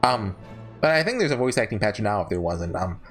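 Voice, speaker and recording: A man's voice: fairly low pitch, sing-song, brisk, faint music behind. A man talking casually off the cuff as a YouTuber giving reviews, chatty, rambling and opinionated. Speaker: YouTuber host ranking visual novels.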